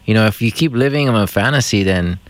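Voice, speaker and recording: A man from Hawaii hosting a community radio talk show, speaking in a reflective, halting way with many fillers. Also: fairly low pitch, no echo. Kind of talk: casual radio conversation.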